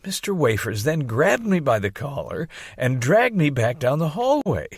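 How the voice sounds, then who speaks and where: A man reading an audiobook as narrator, telling a first-person story in an expressive, theatrical way on a close mic. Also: fairly high pitch, normal speed, husky, no echo.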